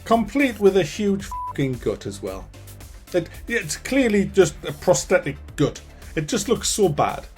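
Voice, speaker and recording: A man's voice: high-pitched, very animated, a little slow, a little mellow, faint music behind. A man giving a ranting film review, sarcastic and full of swearing and stammered restarts.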